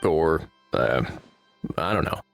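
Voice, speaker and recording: An actor playing a laid-back male prison warden in a video game, talking in a relaxed, dry, wry way. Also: very deep voice, takes it slowly, gravelly, soft background music, heavily acted. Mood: easygoing.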